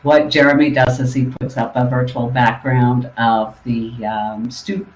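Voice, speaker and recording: A man, an ESL literacy teacher, giving a webinar presentation in a measured, explanatory way. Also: mid-range voice, little pitch movement, slight background noise. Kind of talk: instructional webinar talk. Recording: roomy echo.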